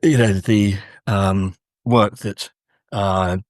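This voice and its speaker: A man speaking as an academic interview guest, discussing literature in a measured, hesitant, scholarly way. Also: lowish voice, steady tone, no room sound, clean studio sound.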